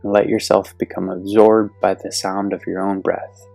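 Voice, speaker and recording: Male meditation guide: gentle, deliberate delivery with a creaky low edge, recorded dry. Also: level tone, no echo. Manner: soothing, gentle, deliberate with long pauses. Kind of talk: guided yoga nidra meditation. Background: soft meditation music.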